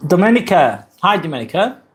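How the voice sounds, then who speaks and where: A man in his forties with a Lancashire accent, hosting a casual live stream, chatting in a relaxed, rambling way with plenty of ums. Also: high-pitched, a little fast. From northwest England. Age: 40s. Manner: relaxed, rambling, reads chat comments aloud with many fillers. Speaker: livestream host.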